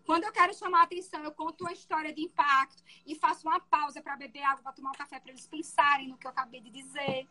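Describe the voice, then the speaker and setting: A woman defense lawyer with a soft voice, explaining her courtroom methods in an interview. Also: high voice, fairly even intonation, fast, few pauses, rough recording.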